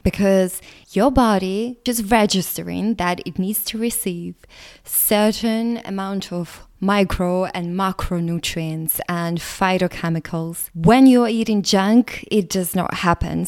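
Slow-speaking Russian-accented woman. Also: fairly low pitch. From Latvia. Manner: earnest, explanatory, occasional soft laughs. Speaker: nutrition coach. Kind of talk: solo podcast monologue.

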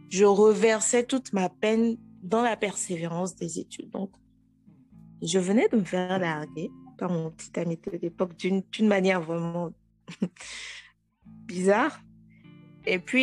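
A woman in her thirties with a Togolese French accent, a guest telling her own story frankly and with animation. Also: medium pitch. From Togo. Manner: frank and animated, with frequent restarts. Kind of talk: casual interview.

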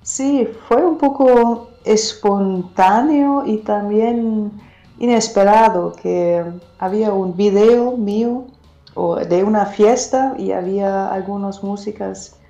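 A German-accented woman speaks slowly and hesitantly, pausing to find her words. Her voice is mellow and low in brightness. The sound is muffled and echoes as if in a room. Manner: hesitant, searching for words, soft-spoken. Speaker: guest singer-songwriter. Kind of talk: casual interview.

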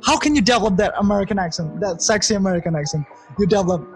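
A man's voice: high voice, rapid-fire, faint music behind. A man hosting a casual interview, chatty and laughing easily, with lots of "you know" fillers. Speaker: host.

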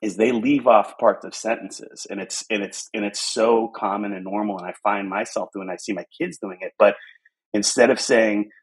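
A man in his forties with a low, husky voice, telling stories in a loose, casual chat. He talks animatedly and often restarts or repeats words as he goes. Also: moderate pace, rough recording. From Pittsburgh. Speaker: podcast guest. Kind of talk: casual conversation.

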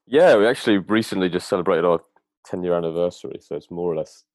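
A man's voice: low-pitched, fast talker, a little mellow. A man being interviewed as a guest, talking casually and filling his sentences with "like" and "kind of". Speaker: guest, data engineer.

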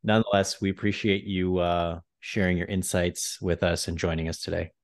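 Deep-voiced male podcast host. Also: little pitch movement. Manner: measured, summarizing. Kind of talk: interview hosting.

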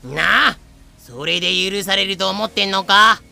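Someone acting cocky pirate captain, rough talk, gravelly and sharp, fan radio play. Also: medium pitch, normal speed, soft background music, full character voice. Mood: menacing. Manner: rough, swaggering speech. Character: brash male pirate captain, menacing thug turned chummy. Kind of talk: fan audio drama acting.

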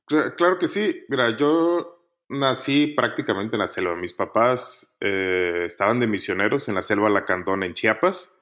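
A man, a podcast guest telling his life story in a relaxed, casual way. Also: fairly low pitch, normal speed. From Mexico.

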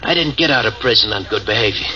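A man's voice: fairly low pitch, lively intonation, quick pace, bright, forceful tone. An actor playing a cold, vengeful ex-convict who is dying and taunts his trapped partner. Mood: menacing. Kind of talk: 1940s radio acting. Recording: dull old radio recording, faint hiss.

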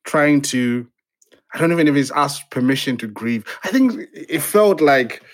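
A man's voice: fairly high pitch, lively intonation. Hesitant, reflective man from Zimbabwe. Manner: hesitant, halting, many restarts and fillers. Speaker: playwright guest. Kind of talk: reflective interview conversation.

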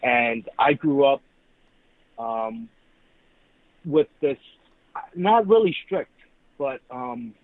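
A man's voice: mid-range voice, lively intonation, drawn-out words, pauses often, no room sound. A man from New York calling in to a talk show, speaking hesitantly with many restarts and fillers. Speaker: caller. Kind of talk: call-in radio talk. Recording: tinny phone line.

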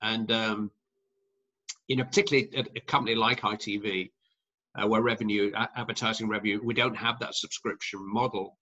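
Bright-voiced middle-aged man, dull lo-fi. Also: fairly low pitch, steady tone, brisk. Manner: rambling, many restarts and fillers. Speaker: sports commentator guest. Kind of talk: conversational interview answers.

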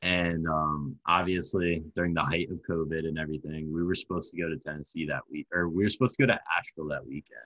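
A man's voice: a bass voice, steady tone, quick pace, few pauses, fairly bright tone, no room sound, rough recording. A man in his late twenties chatting casually as a podcast guest, laid-back, laughing often and swearing. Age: 20s. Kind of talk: casual chat.

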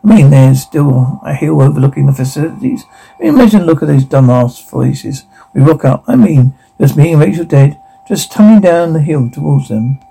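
A man playing a manic, jeering killer who jokes darkly and taunts in profane dark-comedy thriller dialogue. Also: a little high, animated, normal speed, vocal fry, husky, heavily acted, rough recording. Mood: menacing glee.

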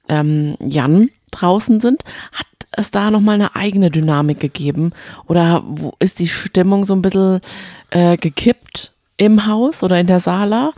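Low, mellow-voiced woman. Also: dry recording, studio quality. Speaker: podcast co-host. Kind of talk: casual chat about reality TV.

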